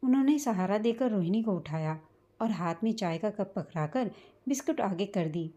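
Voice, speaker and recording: A woman reading a scripted, sentimental family story as a storyteller, in a measured manner. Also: mid-range voice, lively intonation, dark tone, lo-fi sound. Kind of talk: scripted emotional story narration in Hindi.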